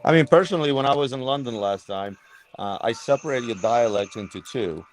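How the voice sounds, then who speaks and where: A man's voice: mid-range voice, fairly quick, crowd noise, rough recording. A man, a guest on a video call, telling a story and acting out the people in it. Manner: animated, acting out quotes. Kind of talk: casual storytelling.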